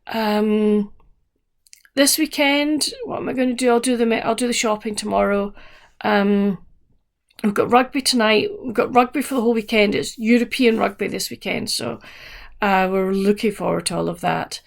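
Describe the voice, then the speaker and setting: A woman chatting casually to camera as a book vlogger, her voice croaky, in a meandering, soft-spoken way. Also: medium pitch, moderate pace.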